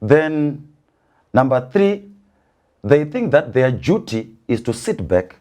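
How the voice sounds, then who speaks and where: A man from rural Zimbabwe with a Zimbabwean accent, an author explaining his views in an interview with deliberate, emphatic repetition. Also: mid-range voice, big pitch swings, darkish tone.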